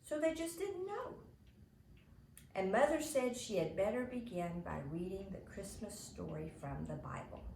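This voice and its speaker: A woman reading a children's novel aloud in an expressive, animated way, dramatizing as she narrates. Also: mid-range voice. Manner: animated, dramatizing the characters' lines. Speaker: storybook reader. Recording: echoey room with faint background noise.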